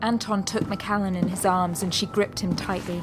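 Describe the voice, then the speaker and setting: A woman performing as the solemn, measured narrator of a dramatic sci-fi audio drama. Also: mid-range voice, steady tone, natural voice. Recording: loud music and effects behind narration.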